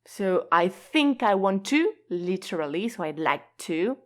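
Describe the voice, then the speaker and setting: A woman teaching an online language lesson in a slow, patient, explanatory way, stretching key words and laughing lightly now and then. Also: medium pitch, big pitch swings.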